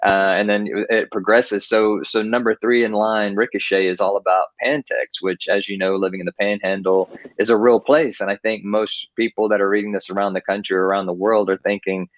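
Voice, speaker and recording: Male author guest from Texas, rambling chat, low voice, lo-fi recording. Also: steady tone, brisk, hardly any pauses, no echo. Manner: rambling, many restarts and fillers. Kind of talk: casual interview talk. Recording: dull lo-fi, dry.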